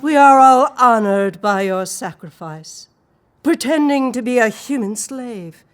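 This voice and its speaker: A woman playing a battle-scarred, protective cat who leads her village, speaking in a grand, measured, declamatory way in an audio drama. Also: a little high. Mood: solemn, honoring.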